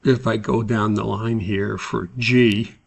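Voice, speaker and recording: A man giving a step-by-step spreadsheet tutorial as an instructor, explaining deliberately. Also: lowish voice, steady tone, gravelly, husky.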